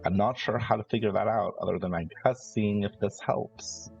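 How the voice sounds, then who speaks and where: A man plays a calculating brother in a 1950s horror roleplay game. His voice is very low and gravelly, and he lays out his words deliberately, in halting phrases. The sound is slightly dull, with music underneath. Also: a little mellow. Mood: cautious, pragmatic. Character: calculating adult brother from a ruthless 1950s family. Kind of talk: improvised in-character tabletop roleplay. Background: background music.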